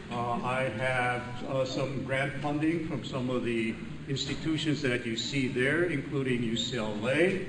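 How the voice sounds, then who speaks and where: An older man from Hawaii with a loud voice, giving a chatty, joking conference lecture as a physician. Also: mid-range voice, background noise. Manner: chatty, self-deprecating, laughs at own jokes. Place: large conference room. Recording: echoey room, voice from a distance.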